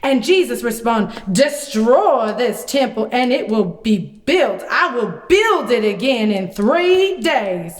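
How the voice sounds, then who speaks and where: A woman voicing a folksy, excitable male prophet puppet who tells stories theatrically in a children's puppet show. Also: fairly high pitch. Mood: earnest explaining. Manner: folksy, theatrical, drawn-out delivery.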